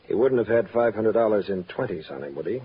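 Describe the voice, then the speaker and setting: A man's voice: lowish voice, lively intonation, quick pace, slightly rough. An actor playing a guarded, businesslike married man of means, curt and stiff, in an old radio drama on a muffled, noisy recording. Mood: suspicious, curt. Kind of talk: mid-century radio drama acting.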